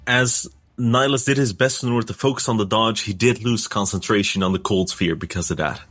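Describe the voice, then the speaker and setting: A man's voice: on the low side, a little fast, fairly bright tone. A man playing a tabletop role-playing game, describing his character's actions in the third person. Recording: background music under the voice.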